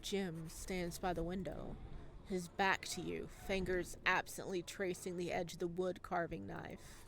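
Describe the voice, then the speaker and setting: A woman reading visual novel narration aloud as a gaming host, with an expressive delivery that can break into reactions. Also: fairly low pitch, a little slow, gravelly, a little hiss or hum.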